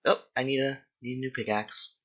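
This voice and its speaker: A young man with a bright, forward voice at an ordinary male pitch talks in a casual, rambling way, as if answering viewers while gaming. He is hesitant and often corrects himself mid-thought. The recording is slightly dull. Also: steady tone, brisk. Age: young adult. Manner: hesitant, self-correcting, thinking aloud.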